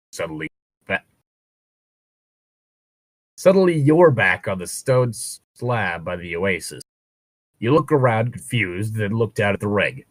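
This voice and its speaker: A man's voice: fairly low pitch, no echo, poor audio. A man reading a story aloud as a co-host, slipping into casual, sarcastic, mock-exasperated asides. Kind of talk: read-aloud narration with casual joking commentary.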